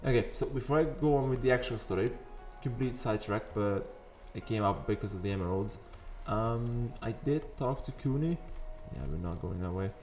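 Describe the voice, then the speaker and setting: Man with a raspy morning voice. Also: medium pitch, normal speed, few pauses, a little mellow. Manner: chatty, rambling, wanders into sidetracks. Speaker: gaming video host. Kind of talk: casual gameplay commentary. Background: faint background music.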